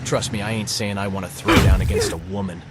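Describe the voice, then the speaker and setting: A man's voice: lowish voice, little pitch movement, a little fast, lightly acted. Man acting a brash street tough, heavy background noise. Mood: cocky. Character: brash male onlooker at a 1970s street fight. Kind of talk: dramatic reading.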